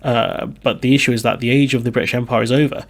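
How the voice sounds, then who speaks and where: A man's voice: medium pitch, quick pace, creaky. A man recovering from a cold, reading news quotes and giving his opinionated political commentary with frequent fillers. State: hoarse from a cold.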